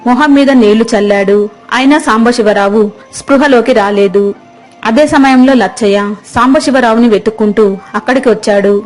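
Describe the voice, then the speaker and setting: A woman narrates a scripted story in a fairly high voice. Music plays behind her as she tells the tale. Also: brisk, darkish tone. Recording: music under the voice. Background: background music.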